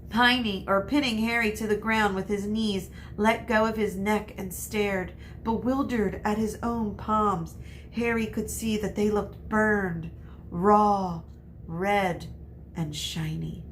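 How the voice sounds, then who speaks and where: A woman reading a children's novel aloud like a teacher, with expressive narration. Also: medium pitch, a little hiss or hum. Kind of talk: expressive scripted story narration.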